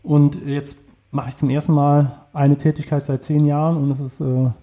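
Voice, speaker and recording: A man's voice: a little high, flat delivery, moderate pace, dark tone. A man in his forties from the Saarland, answering interview questions in a casual, hesitant way with many fillers and restarts. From Saarland, Germany. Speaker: entrepreneur, interview guest.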